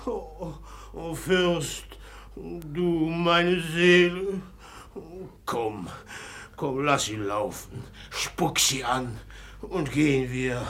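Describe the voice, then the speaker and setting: An actor playing a drunken, boastful merchant in love, impulsive and loud, in a 1950s German radio drama. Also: male voice, on the high side, heavily acted. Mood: tearful, tender. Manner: shouting, laughing, impulsive, drawn-out emphatic phrases.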